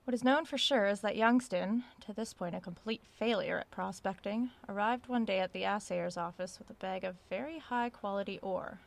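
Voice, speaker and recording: An actress playing a curious, self-aware researcher in an audio drama, recording a spoken log in a conversational, measured way. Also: female voice, mid-range voice, creaky, lightly acted. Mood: absorbed, measured. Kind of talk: audio drama log, reading aloud and musing.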